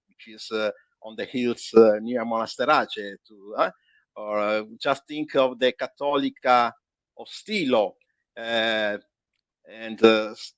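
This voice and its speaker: A man from Calabria speaks English with an Italian accent, his native language being Italian. His voice sits at an average pitch in a dry, close sound. He recounts anecdotes conversationally, restarting sentences and hunting for the next word. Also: fairly bright tone, dry recording. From Calabria, Italy. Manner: halting, searching for words, restarting sentences. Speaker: tour guide being interviewed.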